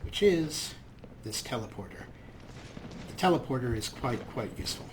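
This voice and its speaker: A man with a medium-pitched voice hosts a game playthrough. He talks casually and playfully, slipping into in-character replies, with faint noise behind him. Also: big pitch swings, normal speed. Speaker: Let's Play host.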